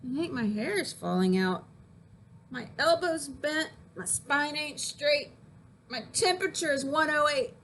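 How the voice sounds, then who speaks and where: A woman acts out a whiny little girl in a children's poem, pitched high and full of melodrama. She complains plaintively as if terribly ill, over some background noise. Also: animated, unhurried, fairly bright tone, full character voice. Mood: feeble, complaining. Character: whiny little girl faking dozens of illnesses. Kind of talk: theatrical children's poem reading.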